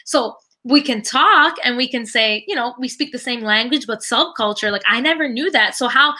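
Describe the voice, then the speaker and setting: A Canadian woman with a fairly high, strikingly bright voice talks casually as a podcast guest. She is animated and slangy, recounting personal stories and voicing the people in them as she goes. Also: normal speed. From Toronto, Canada. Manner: animated, slangy, acts out quoted conversations. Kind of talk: casual chat, personal storytelling.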